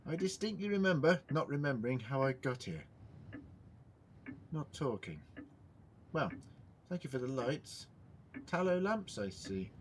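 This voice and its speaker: Eccentric, indignant alien time traveller , male actor, audio drama. Theatrical, self-questioning, very lively pitch, faint rumbling noise. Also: a little slow, long pauses. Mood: inquisitive, indignant. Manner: theatrical, self-questioning, swinging from muttering to declaiming.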